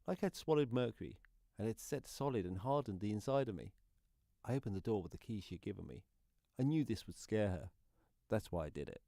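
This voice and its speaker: A man's voice: lowish voice, lively intonation, fairly quick, creaky, dark tone, no echo, clean studio sound. A man playing an anxious, guilt-ridden neighbour confessing in a radio play monologue. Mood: tense, confessional.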